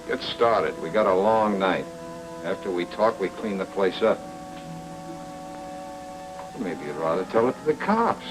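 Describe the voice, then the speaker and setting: Hard-boiled private detective, lowish voice, deadpan, terse, defiant, 1940s noir film, music behind. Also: male voice, rough recording. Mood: sardonic threat. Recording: old film soundtrack with loud music underneath.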